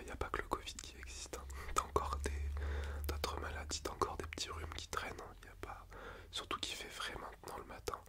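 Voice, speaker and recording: A man whispering softly as a school nurse in an ASMR roleplay, gentle and reassuring, chatting at length in a relaxed, rambling way. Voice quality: soft unvoiced whisper.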